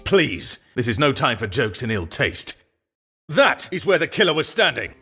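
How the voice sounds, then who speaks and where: A man's voice: mid-range voice, very animated, normal speed, bright, forceful tone, slightly rough, exaggerated voice. A man playing a haughty, skeptical prosecutor in a video game courtroom drama, with formal, disdainful phrasing. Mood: indignant. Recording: faint music behind the voice.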